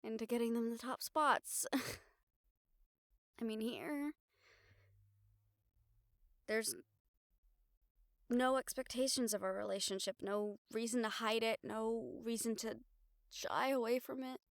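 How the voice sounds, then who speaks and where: Woman acting wry reporter girlfriend, clear higher voice. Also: takes it slowly, long pauses, dry recording, studio quality. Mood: teasing, matter-of-fact. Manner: sardonic, sighing, casually sweary. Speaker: audio roleplay voice actor. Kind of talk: intimate audio roleplay acting, conversational monologue to the listener.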